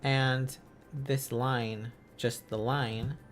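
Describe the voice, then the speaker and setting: Slow, halting casual man over music. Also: medium pitch, level tone. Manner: halting, casual, giggly, self-correcting. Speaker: livestream host learning Korean along with viewers. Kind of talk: informal language-learning livestream, thinking aloud.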